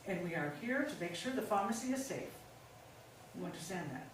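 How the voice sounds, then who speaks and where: A woman's voice: fairly low pitch, lively intonation, brisk, close to an everyday voice. A woman playing a manipulative middle-aged pharmacist's wife in a stage play reading, coaxing and insistent with false sweetness. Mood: solemn, controlling. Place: indoor staged reading, mic far off. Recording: very echoey room, distant mic, faint noise.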